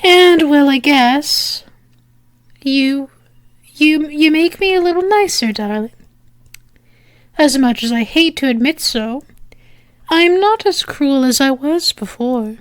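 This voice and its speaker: A teasing, lovestruck demoness, acted by a woman in an ASMR roleplay, with a high-pitched voice. She talks slowly and haltingly to her beloved, in a very dry, close recording. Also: expressive, no echo. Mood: fond, reluctant. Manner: hesitant, halting, teasing endearments. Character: teasing, lovestruck female demon confessing to angel lover. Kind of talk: intimate ASMR roleplay acting.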